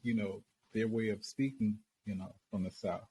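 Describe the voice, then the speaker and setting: A man with a fairly low voice chats in a loose, slangy way as a podcast co-host. There's a faint hiss under his voice. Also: steady tone, moderate pace, warm tone, poor audio. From grew up as a military child, now living in the American South. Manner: easygoing, talkative, slangy. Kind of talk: casual podcast chat.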